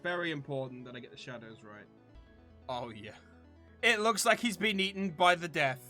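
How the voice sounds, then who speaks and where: Animated, bright-voiced man around thirty. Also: fairly high pitch, normal speed, soft background music. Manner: playful, theatrically mock-serious. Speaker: channel host. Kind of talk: casual chatty vlog to viewers.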